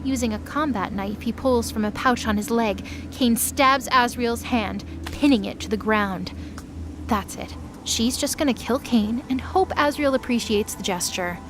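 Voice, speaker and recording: A woman's voice: fairly high pitch, measured pace, background noise. A woman delivering dramatic scripted narration as the narrator of an audio drama.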